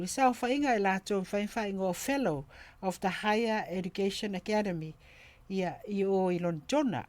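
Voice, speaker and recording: A woman's voice: mid-range voice, a little mellow, no echo. A woman radio host giving a formal introduction of her guest. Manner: formal, reads guest credentials. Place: radio studio.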